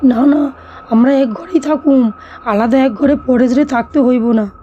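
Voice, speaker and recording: A woman's voice: high-pitched, steady tone, a little slow, mellow, lo-fi sound, a little hiss or hum. A woman voicing an anxious, fussy older village mother-in-law with a rural Bengali dialect and plaintive delivery, in a horror cartoon. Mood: insistent.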